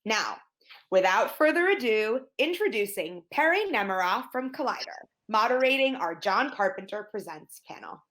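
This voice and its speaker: A woman's voice: medium pitch, very animated, moderate pace, a bit bright, low-quality recording. A woman hosting an event, delivering a scripted announcement. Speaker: event host introducing a panel.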